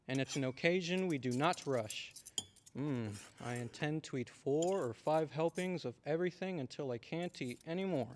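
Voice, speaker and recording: Male actor as stern, fond dad, fairly high voice, measured, reverberant hall, old-time radio style. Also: a little hiss or hum, natural voice. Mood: self-satisfied. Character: stern but affectionate small-town father.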